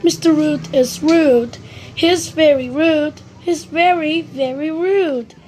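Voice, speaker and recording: A woman narrates a children's storybook in a high voice. She reads slowly and expressively, with deliberate phrasing, over faint background noise. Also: a little mellow, poor audio. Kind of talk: children's read-aloud, scripted narration.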